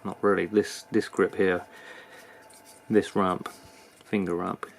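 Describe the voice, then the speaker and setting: A man with a British accent giving a casual, unscripted product review in a halting manner. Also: sits low, level tone, creaky. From United Kingdom. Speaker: knife reviewer. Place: indoors at a workbench.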